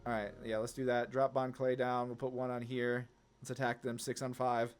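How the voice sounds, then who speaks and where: A man giving casual gameplay commentary, rambling informally as he thinks through each move out loud. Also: mid-range voice, level tone, normal speed, clean tone, soft background music. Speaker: gaming content creator, player.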